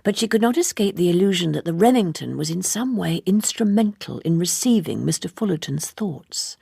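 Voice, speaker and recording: A woman reading a literary novel as scripted narration, in a measured and precise manner. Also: on the low side, animated, vocal fry, warm tone, breathy edge, no room sound, clean studio sound. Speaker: audiobook narrator.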